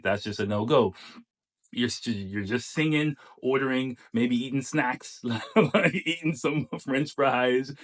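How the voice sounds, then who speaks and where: A man with a low, bass-heavy voice talks through dating advice in a loose, coaching manner. He pauses on fillers and speaks directly to the viewer. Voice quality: deep bass voice. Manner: chatty, coaching, many fillers. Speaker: host giving dating advice. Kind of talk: casual commentary on a clip.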